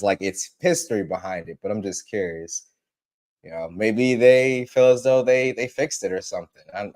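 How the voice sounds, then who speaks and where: Laid-back lower-voiced man hosting a stream. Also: a little mellow. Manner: laid-back, repeats phrases, greets viewers by name. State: congested from allergies. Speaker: livestream host. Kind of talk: casual tech livestream chat.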